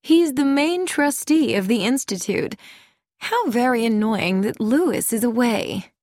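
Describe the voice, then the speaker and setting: A woman voicing a gentle, serene elderly lady who speaks softly and musically, as a character in an audiobook. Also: fairly high pitch, animated, unhurried, no room sound, clean studio sound, pitched above the performer's own voice. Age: older. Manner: soft, musical and untroubled.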